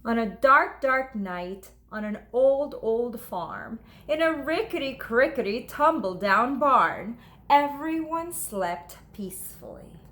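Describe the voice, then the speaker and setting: Female teacher, higher voice, unhurried and expressive storybook read-aloud for young children, faint noise behind. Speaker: teacher reading to second graders.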